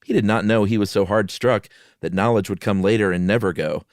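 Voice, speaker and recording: A man's voice: fairly low pitch, a little fast, no room sound, studio quality. A man doing a dramatic story reading, with casual conversational asides. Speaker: co-host reading horror stories aloud.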